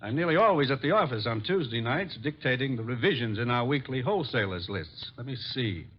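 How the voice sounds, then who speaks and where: An actor playing a polished, self-assured importer in an old radio drama, courteous and wordy. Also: male voice, mid-range voice, lively intonation, brisk, lightly acted. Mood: courteous, probing. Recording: noisy, dull old radio recording.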